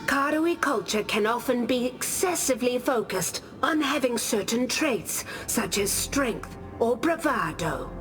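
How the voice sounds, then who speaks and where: Aloof scholarly warrior spirit, game monologue, high female voice, unhurried and deliberate, music behind. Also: expressive. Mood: guarded, reflective. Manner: measured, reflective, deliberate storytelling. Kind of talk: scripted game character monologue.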